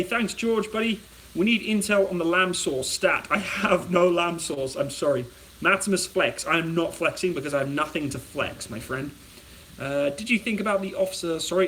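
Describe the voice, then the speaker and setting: A man's voice: high-pitched, fairly bright tone, roomy sound, distant mic, slight background noise, poor audio. A young British man hosting a casual live-stream, chatting and reading viewer comments aloud while he cooks in his home kitchen. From United Kingdom. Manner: chatty, reads out viewer comments and answers them. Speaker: channel host.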